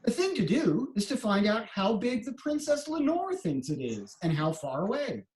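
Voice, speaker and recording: A woman playing a kindly, clever male court jester in a storybook readers theater, with playful, drawn-out phrasing. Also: medium pitch, sing-song, darkish tone, lightly acted. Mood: musing. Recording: roomy echo, some background noise.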